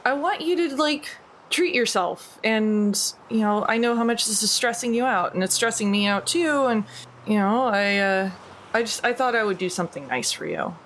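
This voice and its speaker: A woman's voice: on the high side, expressive, moderate pace, a bit bright, slight background noise. A woman, a tabletop game player improvising in-character dialogue in a casual, hesitant everyday voice.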